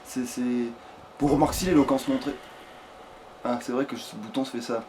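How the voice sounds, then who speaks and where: A man's voice: medium pitch, steady tone, fairly quick, darkish tone, slight background noise, poor audio. A man giving casual, rambling let's play commentary, often swearing coarsely. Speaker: video game streamer.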